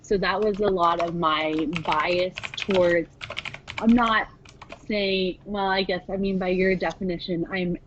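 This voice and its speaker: A woman's voice: fairly low pitch, steady tone, unhurried, muffled recording, background noise. A woman who works as a nurse, a podcast guest explaining her views somewhat hesitantly. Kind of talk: casual podcast chat.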